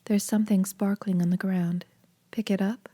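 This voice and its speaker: A woman narrating a horror video game fan dub in a hushed, breathy, grave and measured way. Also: medium pitch, fairly even intonation, close to an everyday voice.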